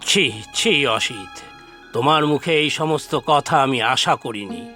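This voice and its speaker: A fatherly village chief in a cartoon, with a bright, forceful voice at middle pitch. He speaks with measured dignity and gently admonishes, over faint music. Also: expressive, breathy edge, exaggerated voice. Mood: stern disappointment. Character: principled village council chief, calm, fatherly, caring man. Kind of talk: Bengali cartoon dialogue.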